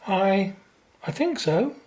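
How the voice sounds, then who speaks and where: Terse son, a patient in a radio drama, slow halting bits of speech, pitch moves around. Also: female voice, big pitch swings, a little mellow. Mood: amazed.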